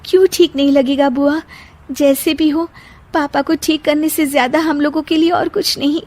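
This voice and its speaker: A woman's voice: high voice, steady tone, normal speed, darkish tone, voice pushed up, close to an everyday voice. A woman voicing a dutiful, sensible young daughter with an earnest, comforting manner in a moral story. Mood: earnest, determined. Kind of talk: Hindi moral story dramatization.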